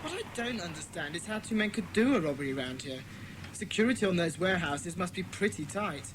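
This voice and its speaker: A woman's voice: on the low side, expressive, brisk, background noise, natural voice. A woman playing an earnest, decisive boy who leads child detectives in a dramatised children's audiobook. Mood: earnest, deducing. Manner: decisive, instructing.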